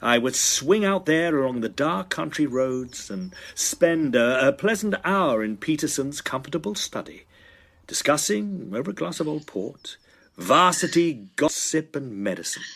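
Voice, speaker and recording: A man's voice: medium pitch, fairly bright tone. A man playing a sceptical, self-assured young student in a period drama, with formal, articulate phrasing. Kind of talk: dramatised first-person period narration and dialogue.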